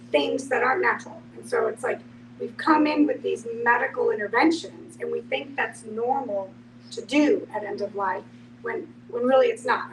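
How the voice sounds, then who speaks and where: A woman earnestly explaining to a discussion group in a conversational way, with everyday examples. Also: on the high side. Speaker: end-of-life educator in a group discussion. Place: echoey room, away from the microphone. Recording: roomy, distant microphone, clear background noise.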